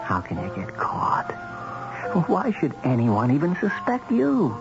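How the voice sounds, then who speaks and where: An American actor playing a smooth magazine editor who recruits contract killers in a 1970s radio drama, insinuating and matter-of-fact with casual hesitations. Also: male voice, on the high side, huge pitch swings, measured pace, full character voice. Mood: coolly pressing. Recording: muffled old radio broadcast, music bed.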